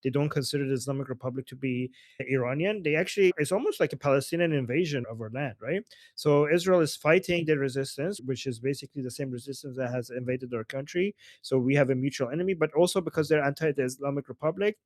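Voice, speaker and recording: A man's voice: mid-range voice, quick pace, dry recording. A man from Iran hosting a live political discussion, arguing emphatically and dropping rhetorical "right?" and "okay?". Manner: emphatic, argumentative, rhetorical tag questions. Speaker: host.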